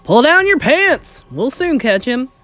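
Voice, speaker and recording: Storybook kitchen hand acted by a woman: aggressive, urgent, loud high shouts. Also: sing-song, a little slow, faint music behind, heavily acted. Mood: scornful. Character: angry shouting kitchen staff hunting a mouse.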